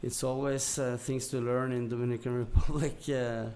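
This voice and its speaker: A man from Norway with a Norwegian accent, telling a story casually and haltingly as a podcast guest. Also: mid-range voice, flat delivery, darkish tone, a little hiss or hum. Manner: halting, hesitant delivery with long pauses.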